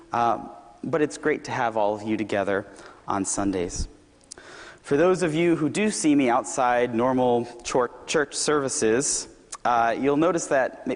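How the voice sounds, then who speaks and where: A young man gives a Sunday sermon with an easygoing, joking warmth, his voice slightly hoarse. His words ring out with a long echo through a big church hall. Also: medium pitch. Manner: relaxed, self-deprecating and conversational. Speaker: youth director and occasional preacher.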